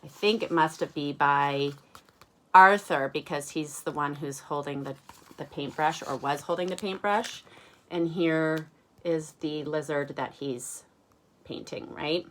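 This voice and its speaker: A woman teacher reading aloud to young children, chatting conversationally, explaining gently and asking them questions. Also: deep voice, measured pace. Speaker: kindergarten teacher.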